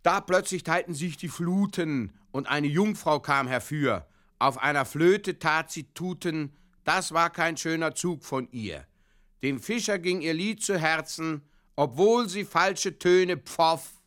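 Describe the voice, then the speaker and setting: A man's voice: fairly high pitch, slow pace, a bit bright. A man playing a pompous, tipsy amateur poet, declaiming comic verse mock-solemnly in a radio play.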